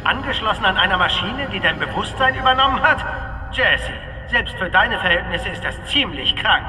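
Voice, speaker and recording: An actor playing a defiant, sardonic space hero in an audio drama, confrontational in manner. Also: male voice, high-pitched, lively intonation, moderate pace, bright, forceful tone, reverberant, far from the mic, faint music behind, heavily acted. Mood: incredulous. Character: defiant young male space hero, incredulous. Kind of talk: German audio drama acting.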